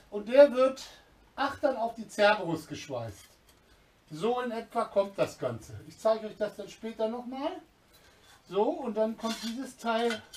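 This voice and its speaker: A man's voice: high-pitched, distant mic, slight background noise. A man in his fifties explains hands-on work step by step in a casual demonstration, recorded indoors in a small workshop on a camera a step or two away. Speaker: sailing channel host.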